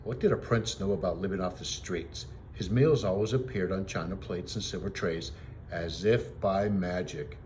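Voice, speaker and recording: A man reading a story aloud as a teacher, in expressive storybook narration. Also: deep voice, normal speed, a little hiss or hum.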